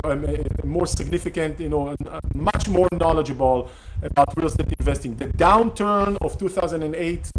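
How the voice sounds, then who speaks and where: A middle-aged man whose first language is Hebrew, hosting a casual live question-and-answer session, talking haltingly with many fillers and restarts. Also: fairly high pitch, background noise. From Israel. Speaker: real estate investor hosting a Q&A session. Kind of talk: casual live-streamed talk.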